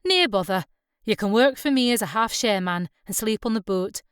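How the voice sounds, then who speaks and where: A woman voicing a steady, fair-minded Scottish skipper in his mid-thirties, direct and reassuring, in an audiobook. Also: mid-range voice, moderate pace, smooth, no echo, clean studio sound, close to an everyday voice. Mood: brisk, reassuring. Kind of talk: audiobook character dialogue.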